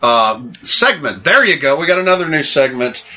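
A man co-hosting a podcast in casual chat, joking and halting over his words with fillers. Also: fairly high pitch, sing-song, bright, forceful tone. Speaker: podcast co-host. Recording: dull lo-fi podcast audio.

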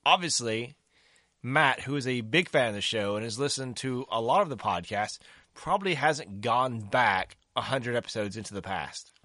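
A man in his forties from the American South, joking and cursing his way through loose podcast chatter. His voice is bright and forward, recorded close and dry with no room sound. He rambles and restarts, laughing at his own stories. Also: medium pitch, animated, moderate pace, no echo. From Southern United States. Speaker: podcast co-host. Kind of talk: casual podcast banter.

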